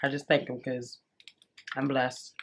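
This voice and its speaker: A woman's voice: rumbling low, flat delivery, unhurried, noisy background. A young woman vlogging casually to camera from her bedroom, chatty and eating as she talks, a little congested. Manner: chatty, addresses viewers as y'all, eats while talking. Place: bedroom at home.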